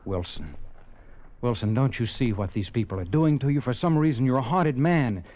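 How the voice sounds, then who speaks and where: A man's voice: medium pitch, lively intonation, a little mellow, natural voice. An actor playing a brash talent agent who narrates his own story and pushes insistently, in 1940s radio acting. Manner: brisk, pushy, insistent. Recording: dull old radio recording with faint music.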